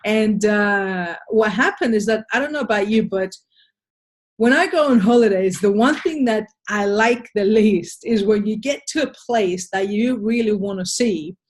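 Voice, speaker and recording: A woman's voice: medium pitch, moderate pace. An Australian woman giving a sales presentation in a webinar, upbeat and persuasive, speaking to a webcam from a home office.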